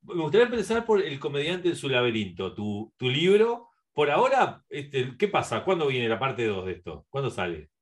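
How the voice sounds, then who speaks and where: Medium-pitched Uruguayan male radio interviewer. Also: big pitch swings, moderate pace, hardly any pauses. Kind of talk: casual conversational interview.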